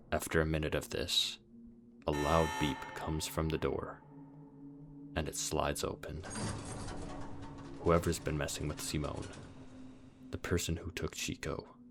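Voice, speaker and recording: A man reading a scripted story recap as a narrator, in a deadpan, somber way. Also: very deep voice, vocal fry, background noise. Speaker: video essay narrator.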